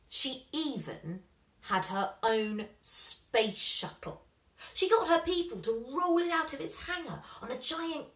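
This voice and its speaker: Lady reading a kids story, really animated high voice, bit of a roomy echo. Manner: animated, dramatic emphasis. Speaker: storybook reader. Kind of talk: expressive children's story narration.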